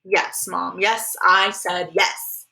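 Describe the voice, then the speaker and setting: A woman's voice: mid-range voice, lively intonation, normal speed, sharp tone. A woman voicing a blunt, exasperated schoolboy, the older brother, arguing in casual kid slang in a story reading. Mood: resigned. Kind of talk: audiobook character dialogue.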